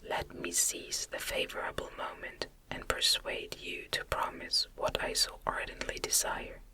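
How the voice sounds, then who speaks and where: A lonely, hideous outcast monster played by a woman pleads in formal, eloquent phrases. The voice is a breathy ASMR whisper, very slow and sharply sibilant, earnest and imploring in a gothic storybook manner. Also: pushed and bright. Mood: persuading, hopeful.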